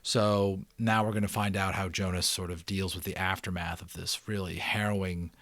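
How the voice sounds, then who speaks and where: A man with a fairly low voice reads a novel aloud as a dramatic storyteller. He leans on key words with deliberate emphasis and slips into casual commentary for his listeners. The sound is dry, with very little room in it. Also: level tone, fairly bright tone, no room sound. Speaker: audiobook reader and channel host.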